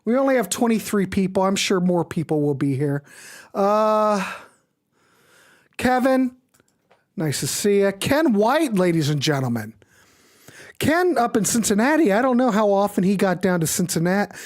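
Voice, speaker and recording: A man's voice: high-pitched, sing-song, normal speed. A man hosting a live music show in a casual, enthusiastic, rambling and informal way. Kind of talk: casual live stream commentary.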